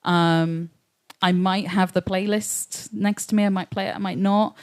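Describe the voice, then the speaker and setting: A woman's voice: mid-range voice, fairly even intonation, a little slow, darkish tone. A woman singer chatting casually as a guest in a panel interview.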